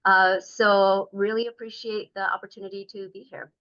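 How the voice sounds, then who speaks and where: A middle-aged woman with a bright, forceful voice speaks earnestly and at length. She pauses often with ums and uhs while introducing herself to a community group. The sound is dull and lo-fi, like a recorded meeting. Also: medium pitch, level tone. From Denver, Colorado. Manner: earnest, talkative, many fillers. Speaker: state transportation commissioner.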